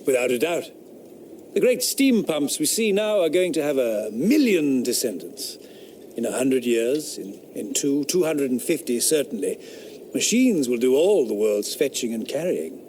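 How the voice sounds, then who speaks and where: An actor playing a sardonic, rationalist eighteenth-century philosopher in a radio drama, speaking in a declamatory, scornful manner. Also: male voice, mid-range voice, sing-song, moderate pace, darkish tone, a little hiss or hum, natural voice. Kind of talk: period radio drama acting.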